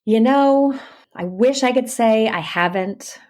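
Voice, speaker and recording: A woman's voice: on the high side, slow pace, a little mellow. A woman speaking as a podcast guest in a casual, candid conversation, stressing points by repeating words and phrases. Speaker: interview guest, audiobook narrator and actor.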